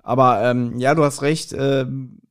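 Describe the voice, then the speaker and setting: A man's voice: mid-range voice, fairly even intonation, unhurried, clear, resonant tone. A man chatting casually as a podcast co-host, often searching for his words.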